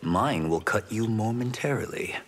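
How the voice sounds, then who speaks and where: Formal, expressive noble warrior. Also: male voice, fairly low pitch, moderate pace, very noisy. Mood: grave. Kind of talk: video game character dialogue.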